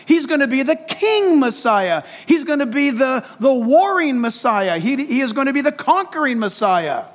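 A man's voice: very high voice, very animated, moderate pace. A man preaching an expository sermon, speaking emphatically and conversationally, posing rhetorical questions straight to his listeners. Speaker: pastor preaching to congregation.

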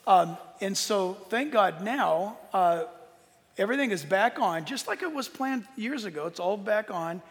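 High-voiced, gravelly man in an echoing hall. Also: expressive, unhurried. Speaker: Bible teacher and tour leader. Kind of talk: informal preaching talk to an audience. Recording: long hall reverb.

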